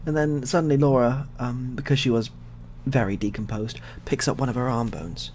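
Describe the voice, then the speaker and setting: Male ASMR storyteller, mid-pitched, soft-spoken, deadpan and repetitive, improvising as he goes; noticeable background noise. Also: normal speed. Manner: rambling, repetitive, deadpan dark humour. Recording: clearly audible background noise.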